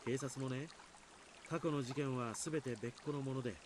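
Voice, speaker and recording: A man's voice: mid-range voice, steady tone, quick pace, noisy background, natural voice. An actor voicing an easygoing, casual adult man in a mystery game. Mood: reassuring.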